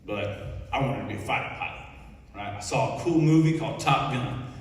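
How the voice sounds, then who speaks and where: A young man in his twenties with an Ohio accent gives an easygoing, conversational talk to a crowd of students. His voice sits at an ordinary middle pitch. It echoes around a big hall and sounds as if it was picked up from well back in the room. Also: brisk, far from the mic. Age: 20s. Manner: folksy and conversational, keeps checking in with the audience. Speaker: Navy petty officer, guest speaker. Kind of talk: informal speech at a school assembly. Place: large echoing school hall. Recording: echoey hall recorded from afar.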